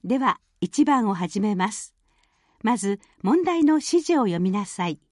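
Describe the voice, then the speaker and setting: A woman's voice: medium pitch, very animated, brisk, a little mellow, no echo, studio quality. A woman reading scripted listening test instructions in a formal, carefully enunciated manner. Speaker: exam announcer.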